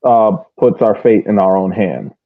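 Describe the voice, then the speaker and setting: A man's voice: fairly low pitch, a little slow, dry recording. A man co-hosting a sports podcast in casual chat, often repeating words as he restarts phrases. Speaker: soccer podcast co-host. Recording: tinny phone-like line, dry.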